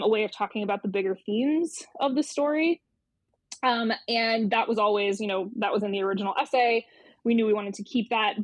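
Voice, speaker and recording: A woman speaks in a medium-pitched voice, recorded close and dry. She explains things like an editor in conversation, thoughtfully, hedging and doubling back to rephrase as she goes. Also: no echo. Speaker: magazine editor being interviewed.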